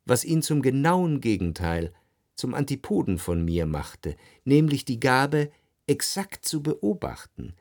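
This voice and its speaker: An actor playing a refined first-person narrator in a radio drama, speaking in a measured, precise, reflective literary manner. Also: male voice, mid-range voice, no echo, studio quality. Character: reflective, refined male narrator recalling Parisian salon society. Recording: faint music behind the voice.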